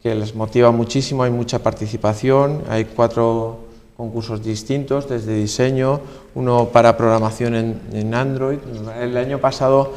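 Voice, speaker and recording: A man with an accent from Spain giving halting, explanatory answers in a conversational interview. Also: mid-range voice, steady tone, darkish tone. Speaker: university research deputy director, interviewee. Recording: long hall reverb.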